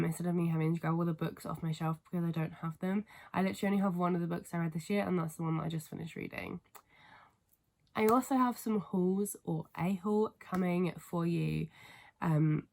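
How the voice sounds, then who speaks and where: A young woman talking to camera in a relaxed, meandering way, her nose blocked from a cold. Her voice sits a little low for a woman, and she leans on "um" and restarts. It sounds like a casual home vlog filmed in her bedroom. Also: creaky. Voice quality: stuffy, congested. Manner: rambling, filler-heavy, apologetic. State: has a cold, sniffly. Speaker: book vlogger. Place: family home bedroom, indoors.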